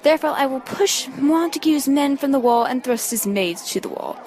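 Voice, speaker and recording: Boastful, quarrelsome serving man played by a woman, swaggering and animated, Shakespearean banter. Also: high-pitched, normal speed. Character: boastful, bawdy, quarrelsome male servant. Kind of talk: amateur Shakespeare dramatic reading.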